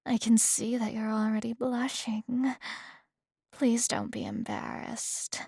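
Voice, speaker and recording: Slow-talking, flirty female police detective, acted by a woman. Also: fairly high pitch, level tone, a little mellow, husky, no room sound, studio quality, full character voice. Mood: coy, probing. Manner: slow, teasing, coy delivery with pauses. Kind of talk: ASMR roleplay, spoken directly to the listener.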